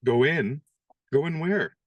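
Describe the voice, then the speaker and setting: A man playing a wry young gentleman valet. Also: on the high side, animated, slow, dry recording, highs cut off. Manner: dry, good-humored and polite. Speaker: actor in radio play. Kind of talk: radio play acting.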